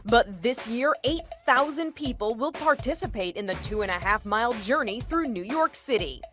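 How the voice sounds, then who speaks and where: Lively American woman narrator over music. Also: fairly high pitch. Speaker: video narrator. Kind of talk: scripted documentary narration.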